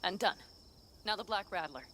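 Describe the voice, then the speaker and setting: A woman plays a tough, self-assured mercenary who rattles off plans and orders with brisk confidence. Her voice sits at an ordinary pitch for a woman. Faint night sounds hum behind her. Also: lightly acted. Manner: brisk, commanding planner. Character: tough female fixer, confident and cocky. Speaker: mercenary ally. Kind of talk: video game dialogue.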